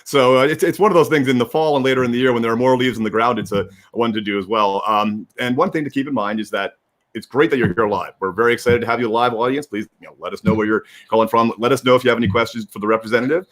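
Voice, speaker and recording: A man's voice: medium pitch, fast, a bit bright. A man co-hosting an online live stream, explaining practical details in an informal, unscripted way. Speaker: livestream co-host and producer.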